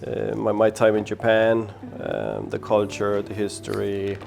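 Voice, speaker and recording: A man in his forties from Denmark, a florist hosting a workshop, explaining steps in a friendly, halting way with many ums. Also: on the low side, sing-song, creaky, a little mellow. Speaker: florist host. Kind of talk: casual workshop chat. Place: bright indoor studio with flowers.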